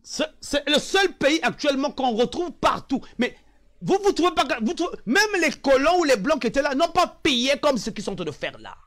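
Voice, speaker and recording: A man from the Republic of the Congo speaks in a very high, animated voice that swings widely in pitch. He hammers points home with repeated phrases, then sinks into low, weary asides. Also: normal speed. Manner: emphatic, repeats phrases for emphasis, drops to low sighing asides. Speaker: online commentator. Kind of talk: impassioned political monologue.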